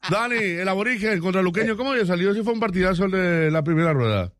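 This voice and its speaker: A man's voice: high-pitched, animated, very fast, fairly bright tone, no echo. A man with a Paraguayan accent hosting casual sports banter, teasing and informal, often throwing out questions. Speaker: sports show host.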